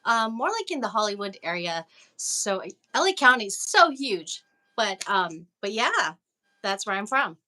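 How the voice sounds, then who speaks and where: A woman who was born in the Philippines and grew up in Los Angeles, an indie music artist speaking from a recording studio as an interview guest, chatty and quick to laugh. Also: medium pitch, sing-song, sharp tone. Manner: bubbly, laughs often, chatty. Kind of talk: casual interview over a call.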